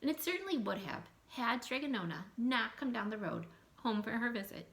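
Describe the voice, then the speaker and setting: A woman reading a picture book aloud to children in an animated, expressive way, adding asides and questions in mock surprise. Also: fairly high pitch, a little fast. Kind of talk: animated read-aloud storytelling with asides to young listeners.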